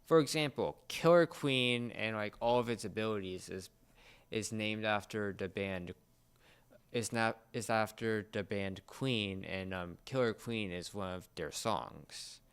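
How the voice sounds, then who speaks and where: A man chatting casually as a fan, often halting and searching for his words. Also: lowish voice, clean tone.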